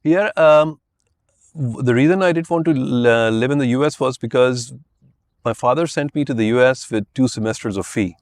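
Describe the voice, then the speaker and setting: A man's voice: mid-range voice, moderate pace, no room sound. A man in his fifties, originally from Pakistan, telling his life story as a podcast guest and loosely filling his talk with "you know" and "I mean". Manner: rambling, many "you know" and "I mean" fillers. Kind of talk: relaxed interview answers.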